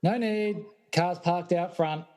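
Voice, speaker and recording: Laid-back friend for a radio play man acting, raised pitch, understated, a bit worried. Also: slow, a little mellow. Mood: mildly worried. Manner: casual, understated.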